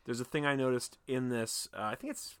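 A young man co-hosting a podcast, chatting casually about films, animated and swearing, breaking into mocking impressions. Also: mid-range voice, fairly quick, smooth, dry recording. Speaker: podcast co-host. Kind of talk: casual film discussion.

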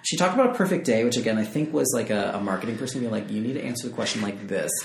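A woman in her late twenties with an unusually deep voice co-hosts a podcast. She is wry and sarcastic, often mocking the people she quotes. Her voice echoes in the room. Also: expressive, racing, creaky, a little hiss or hum. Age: late 20s. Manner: wry, sarcastic, quoting others in put-on voices. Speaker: podcast co-host. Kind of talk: casual chat.

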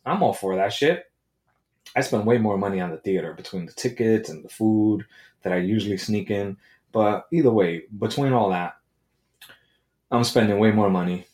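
A man's voice: on the low side, a little mellow. A man ranting casually on his own, crude and sarcastic, swearing freely and going off on tangents. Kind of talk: casual solo rant.

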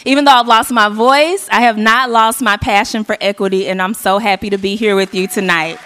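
A hoarse woman from Arkansas, hosting a live event on a stage mic and rallying the audience with call and response. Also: medium pitch, brisk. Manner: energetic, rallying the crowd. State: hoarse, losing her voice. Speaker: event emcee. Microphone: handheld stage mic. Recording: live hall with faint audience.

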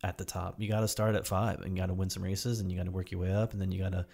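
Male podcast host, very low pitch with heavy vocal fry, informal and halting conversational delivery. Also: little pitch movement, a little mellow. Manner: informal, halting, loosely phrased questions. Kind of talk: casual interview chat.

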